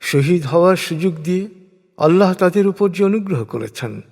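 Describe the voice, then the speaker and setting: A man reads translated scripture as a solemn scripted narration, in measured, deliberate phrases with pauses between them. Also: high-pitched, expressive, creaky, a little mellow. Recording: long reverberant hall echo.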